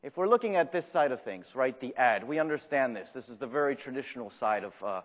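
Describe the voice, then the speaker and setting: A man's voice: mid-range voice, lively intonation, fast. A man with a French accent and Spanish as his first language gives a live conference talk, joking and putting questions to the audience. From Argentina. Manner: self-deprecating, jokey, asks the audience questions. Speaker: conference speaker. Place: large conference room. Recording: reverberant hall.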